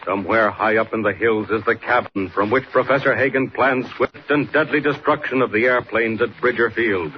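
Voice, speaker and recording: A man's voice: lowish voice, normal speed, few pauses. A man announcing and narrating a 1940s radio serial in a dramatic, declamatory scripted style. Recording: muffled, noisy 1940s radio recording.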